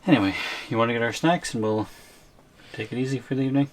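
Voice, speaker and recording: A man's voice: mid-range voice, fast talker, lightly acted. A man playing a wary, resigned captive boyfriend in an ASMR audio roleplay, hesitant and trying to reason his way along.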